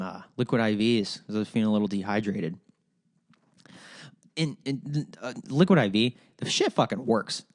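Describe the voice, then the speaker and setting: A man's voice: mid-range voice, dry recording, clean studio sound. Rambling Ohio-accented man, podcast host. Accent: Ohio, Midwestern. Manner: rambling, profane, full of fillers and restarts. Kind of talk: casual solo podcast chat.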